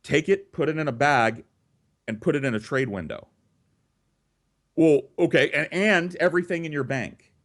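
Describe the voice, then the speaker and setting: A man's voice: a little high, moderate pace, pauses often. A man telling a story casually and comedically, swearing freely and acting out both sides of conversations. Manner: profane, animated re-enacting of conversations. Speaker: storyteller and host.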